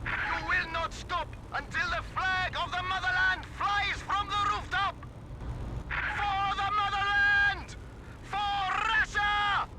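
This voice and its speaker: An actor playing a zealous Soviet commander, giving intense, declamatory battle orders in a war video game. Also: male voice, very high voice, flat delivery, slow. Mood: fiery, rallying. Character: fiery, zealous Soviet commander rallying troops. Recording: loud battle noise, gunfire, artillery.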